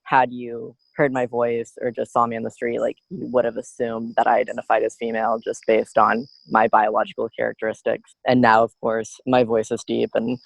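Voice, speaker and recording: A man's voice: mid-range voice, completely flat delivery, moderate pace, creaky, hardly any pauses, no room sound, rough recording. A young adult man, a student guest in a podcast interview, speaking in an earnest, reflective way with frequent "you know" and "like".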